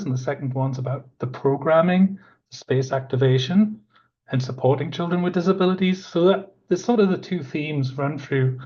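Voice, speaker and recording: Croaky man giving a webinar talk. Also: on the high side, darkish tone. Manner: conversational and earnest, with many fillers. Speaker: park planning manager presenting a webinar. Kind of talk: webinar presentation. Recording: dull lo-fi audio.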